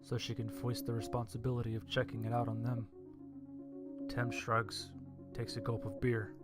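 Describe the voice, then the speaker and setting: A man's voice: medium pitch, monotone, moderate pace. A man reading a scripted story as its narrator. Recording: music behind the voice. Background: music.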